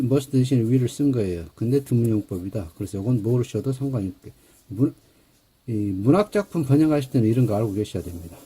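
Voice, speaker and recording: A male grammar lecturer with a very dark, mellow tone and a husky edge to his voice. He walks through each point step by step and slows right down to read English example sentences aloud. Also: mid-range voice.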